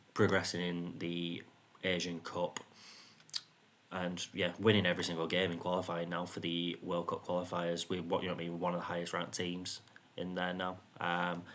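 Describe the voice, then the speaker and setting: A man with a self-described monotone voice, casually commenting on his football management game, rambling with plenty of ums. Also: low-pitched. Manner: rambling, frequent ums and "you know what I mean". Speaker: gaming YouTuber.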